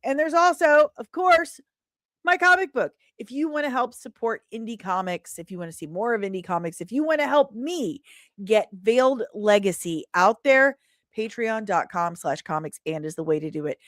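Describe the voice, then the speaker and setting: A woman's voice: a little high, lively intonation, moderate pace, dry recording, studio quality. A woman hosting a podcast livestream, talking in a casual, chatty and playful way as she addresses her audience. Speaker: podcast host and indie comic creator. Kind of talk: casual livestream sign-off and promotion.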